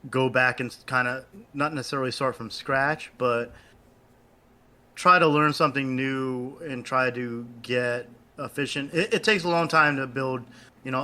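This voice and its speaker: Podcast guy, bright crisp voice, stumbles and repeats himself a lot. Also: medium pitch. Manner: hesitant, repeats words often. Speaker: podcast co-host. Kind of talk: casual conversation.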